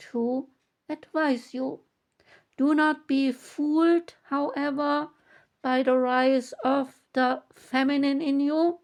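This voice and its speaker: A woman reading oracle card messages aloud from a guidebook, in a measured manner with long pauses between phrases. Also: sits high, a little mellow.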